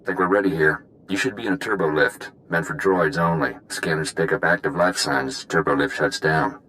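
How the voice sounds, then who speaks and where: Very deep-voiced, practical prison-break technician. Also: male voice, normal speed, sharp tone, slight background noise, poor audio. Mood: businesslike. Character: calm practical male prison-break technician, matter-of-fact. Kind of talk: video game voice acting.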